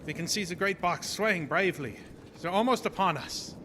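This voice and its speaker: A grave old vampire hunter played by a male actor speaks in halting, commanding phrases. His voice sits fairly high, ringing through long reverb over clear noise, in the manner of a live radio horror play. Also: close to an everyday voice. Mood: tense, awed. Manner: solemn, halting foreign phrasing. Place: small theater stage. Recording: noisy live stage broadcast, long hall reverb.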